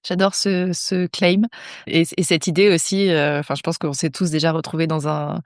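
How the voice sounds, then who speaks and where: Chatty woman podcast host, low voice. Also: little pitch movement, moderate pace, no room sound, studio quality. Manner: friendly, chatty. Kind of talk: casual interview chat.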